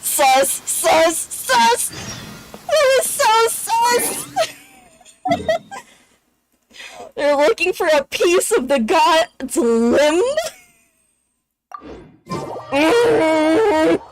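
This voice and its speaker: Woman game streamer: high voice, very slow delivery, chatty and playful, faint music behind. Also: sing-song, poor audio. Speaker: streamer playing a video game. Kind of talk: casual gameplay reaction commentary.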